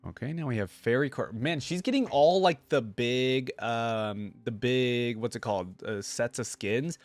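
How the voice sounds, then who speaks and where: A man's voice: mid-range voice, unhurried, dry recording. A man giving casual, informal reaction commentary, thinking aloud as he goes with plenty of filler words. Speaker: reaction video host.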